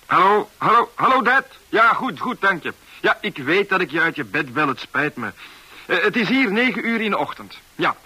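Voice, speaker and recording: An earnest young art dealer in an old radio drama. His voice is a little husky and he speaks hesitantly, with a pleading sincerity. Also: sits high, very animated, moderate pace, bright, forceful tone, full character voice, low-quality recording. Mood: businesslike. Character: earnest young American art dealer named Dick Branton.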